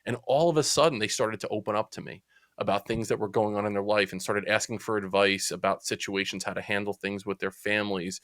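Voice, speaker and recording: A man in his forties with a New Jersey accent, telling stories as a podcast guest in a chatty, lively way. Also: fairly low pitch, fast talker, no room sound, clean studio sound. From Central New Jersey. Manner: chatty, animated storyteller. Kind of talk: casual storytelling interview.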